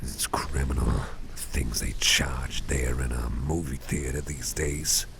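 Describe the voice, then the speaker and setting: A man playing a caring, easygoing boss in an ASMR roleplay, murmuring softly and breathily in a rambling, reassuring way, close to the microphone. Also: very deep voice, fairly even intonation, a little slow, vocal fry, noisy background, exaggerated voice. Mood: relaxed, musing. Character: caring, easygoing male boss, gently soothing and chatty. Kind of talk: ASMR roleplay, soft personal-attention talk.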